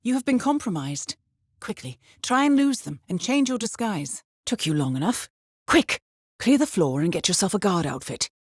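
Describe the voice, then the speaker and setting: An actress playing a composed, shrewd intelligence handler in a video game, giving mission briefings in a measured, precise and dryly wry way. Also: female voice, mid-range voice, sing-song, slightly rough, no room sound, clean studio sound. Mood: urgent.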